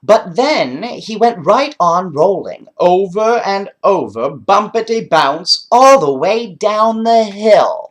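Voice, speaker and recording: A man reading a bedtime story aloud and chatting to young listeners, expressive and often putting questions directly to them. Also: high voice, takes it slowly, few pauses. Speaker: children's storyteller.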